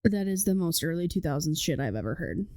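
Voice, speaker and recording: A woman's voice: lowish voice, very animated, brisk, mellow. A woman in her thirties, a podcast co-host, chatting casually with a blunt, swearing, laughing manner. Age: 30s. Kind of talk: casual chat.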